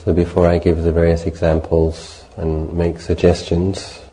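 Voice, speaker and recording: A man leading a guided meditation as a Buddhist teacher, speaking in a measured, gentle way with deliberate pauses between short phrases. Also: low-pitched, completely flat delivery, poor audio.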